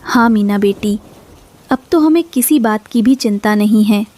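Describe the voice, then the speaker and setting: A woman voicing a gentle, worried mother bird in a cartoon, speaking politely and earnestly. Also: mid-range voice, measured pace, natural voice. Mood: reassuring. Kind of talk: Hindi cartoon dubbing.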